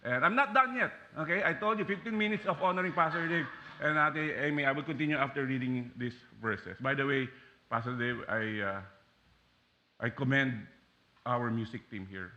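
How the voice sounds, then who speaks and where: A man in his forties from the Philippines with a Filipino accent, preaching a sermon as a guest pastor in a church, animated and joking with frequent fillers and restarts. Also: mid-range voice, normal speed, muffled recording, slight background noise. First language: Taglish. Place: church sanctuary.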